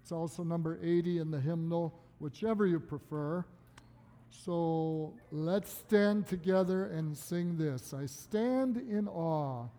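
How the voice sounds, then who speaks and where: A woman leading a children's church service, speaking in a gentle, encouraging, teacherly way. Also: on the low side, takes it slowly, long reverb tail. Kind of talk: children's sermon and skit. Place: church sanctuary.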